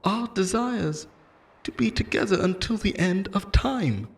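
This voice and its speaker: Slow, wildly swinging obsessive immortal lover. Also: male voice, high voice, animated, gravelly, exaggerated voice. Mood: desperate, obsessive. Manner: theatrical, intense, swinging between tender and threatening. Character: obsessive immortal yandere lover, menacing, pleading, unhinged. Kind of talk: dramatic ASMR-style audio roleplay monologue.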